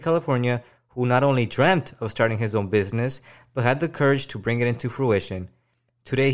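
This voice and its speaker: Man reading a measured podcast intro. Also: medium pitch. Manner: measured, formal when introducing. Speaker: podcast host. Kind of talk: read introduction and interview questions.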